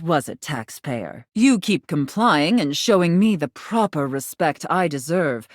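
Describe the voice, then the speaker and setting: A woman's voice: sits low, no echo, clean studio sound. A woman playing a haughty, domineering, condescending mistress in a video game, speaking with formal, imperious, disdainful diction. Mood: smug, threatening. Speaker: voice actor in a dating simulator game. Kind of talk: theatrical video game character acting.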